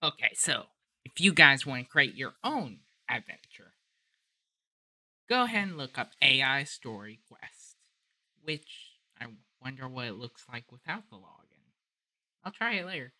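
Casual game host, slow and halting, very bright forceful voice, close dry sound. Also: medium pitch, sing-song, no room sound. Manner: hesitant, thinking aloud while typing. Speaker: gamer host. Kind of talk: casual game playthrough commentary.

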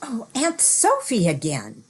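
A woman's voice: on the high side, huge pitch swings, slow pace, husky, full character voice. A woman playing a sweet, coy sweetheart in an amateur old-time radio play. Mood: gentle. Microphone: computer on video call.

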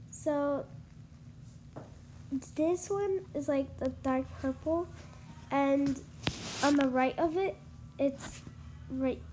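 A young woman talks through her game build in a high, stuffy voice, congested from allergies. She takes her time, trailing off with ums and likes. Steady noise hums under her voice. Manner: chatty, hesitant, lots of ums and likes. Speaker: gaming YouTuber. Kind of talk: casual game-build tour voice-over.

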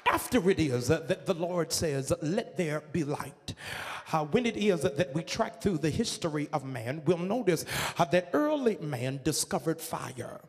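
A middle-aged man preaching a sermon to a congregation on a handheld mic in a large church, moving from measured teaching into sung, shouted preaching. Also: on the high side, animated. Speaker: pastor. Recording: long reverb of a church hall.

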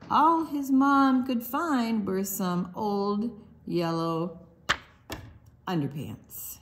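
A woman reads a picture book to young children at a very slow pace. Her pitch sits in the middle, and she is expressive, leaving deliberate pauses for effect. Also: background noise. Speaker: storytime book reader.

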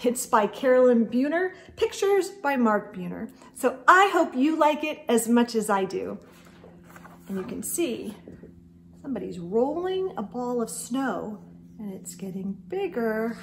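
Animated, high-voiced woman reading to children. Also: unhurried, a little hiss or hum. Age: middle-aged. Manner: animated, sing-song, addressing children directly. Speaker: host of children's storytime show. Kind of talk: expressive read-aloud of a picture book for children. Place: indoors in a room lined with bookshelves.

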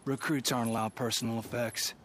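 A male actor plays a gruff militia guard in a game. He makes blunt demands in a mid-pitched voice. There is faint noise in the background. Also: flat delivery, fairly quick, fairly bright tone, natural voice. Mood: demanding.

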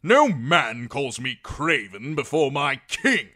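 A man voicing a proud, aggressive knight in an audiobook, loud and declamatory. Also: mid-range voice, sing-song, a little slow, pitched above the performer's own voice. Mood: outraged. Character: aggressive, indignant male knight, bloodthirsty and proud.

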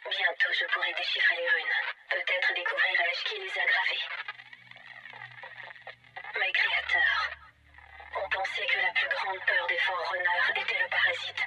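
An actress playing a melancholic, pensive AI guardian in a video game, speaking in a measured, questioning, philosophical way through a synthetic processed effect. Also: female voice, medium pitch, wildly swooping pitch, over music, low-quality recording. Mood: solemn, foreboding. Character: melancholic, pensive female AI guardian of an ancient alien installation. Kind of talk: scripted dramatic game monologue.

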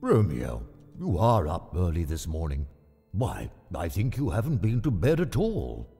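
A man voicing a kindly old friar in a measured, paternal way for a storybook drama. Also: low-pitched, huge pitch swings, creaky, warm tone, slightly rough, in a large hall, soft background music, full character voice. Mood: gently questioning.

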